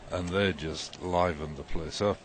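A man hosting a casual podcast, talking in a relaxed, rambling way with many fillers, recorded outdoors on a handheld recorder. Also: low voice, unhurried, a little hiss or hum. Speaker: podcast host. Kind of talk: casual chat. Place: outdoors at a festival.

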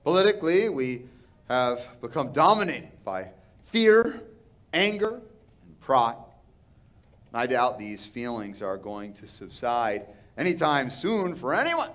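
A man in his thirties with a Texan accent, preaching a sermon earnestly from a church pulpit. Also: sits high. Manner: earnest preaching with rising and falling emphasis. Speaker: pastor. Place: large church sanctuary, indoors. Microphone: pulpit microphone.

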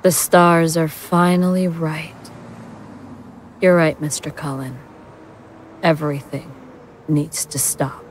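A woman's voice: low-pitched, flat delivery, takes it slowly, pauses often, slight background noise. A woman acting in an audio drama as a friend who is secretly an otherworldly being. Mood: eerie, calm.

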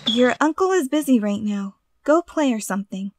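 A woman's voice: fairly high pitch, expressive, moderate pace, a little mellow, no echo, studio quality, natural voice. A woman playing a stern, dismissive attendant to a lord in an amateur audio drama. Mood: firm.

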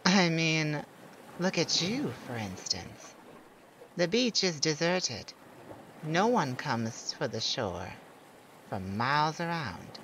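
A performer acts out a proud male siren of the sea in an ASMR audio roleplay. The delivery is slow and theatrical, with a widely swinging melody as the siren teases a single listener. Beach ambience sits clearly behind the voice. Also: mid-range voice, heavily acted, poor audio. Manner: theatrical, unhurried, teasing, addressing one listener. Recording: steady background noise of beach ambience. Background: beach and ocean sounds.

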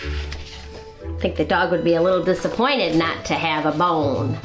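A middle-aged woman reading a picture book aloud to young children, expressive and deliberate, as a storytime host. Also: sits low, echoey room, faint music behind. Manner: expressive, deliberate, explains words for young listeners. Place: small indoor classroom-style set.

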